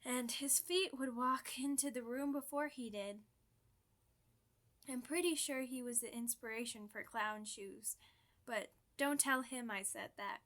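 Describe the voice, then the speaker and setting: A woman's voice: on the high side, unhurried, a bit bright. A woman voicing a chatty, playful, theatrical old vampire in an ASMR roleplay, full of mock-grand asides. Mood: chatty, gracious.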